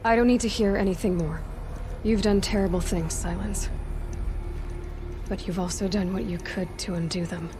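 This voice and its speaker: A woman's voice: medium pitch, fairly even intonation, normal speed, music in the background, lightly acted. A woman playing a blunt, determined young warrior in a video game cutscene, earnest and dryly sarcastic. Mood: stern.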